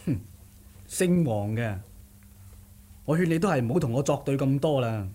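A man's voice: mid-range voice, expressive, normal speed, mellow, lightly acted. A male actor playing a determined, sardonic young martial-arts nephew in a radio drama, theatrical, scoffing and insistent. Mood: defiant. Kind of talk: Cantonese radio drama acting.

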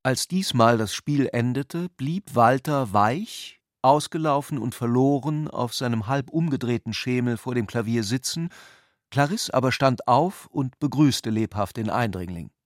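A man's voice: medium pitch, animated, unhurried, no echo, studio quality. A man reading scripted literary prose as the narrator of a radio adaptation.

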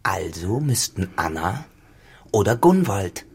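An actor playing a cynical, dissolute farmhand turned tenant in a literary radio drama, grave and deliberate in manner. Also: male voice, sits low, sing-song, a little mellow, slightly rough, exaggerated voice. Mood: grave, accusing.

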